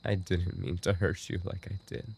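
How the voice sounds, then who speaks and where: A man's voice: low voice, fairly even intonation, moderate pace, creaky, darkish tone, slight background noise. A man playing a dying, obsessive and remorseful yandere roommate in an audio roleplay, speaking in weak, broken, repeated phrases. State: weakened, dying from an injury. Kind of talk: boyfriend ASMR audio roleplay acting.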